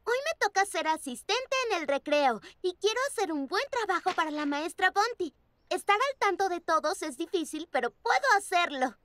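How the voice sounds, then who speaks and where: A woman's voice: extremely high pitch, lively intonation, moderate pace, fairly bright tone. A woman voicing a cheerful, responsible young girl bunny in a children's cartoon dub, earnest and eager. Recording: music under the voice.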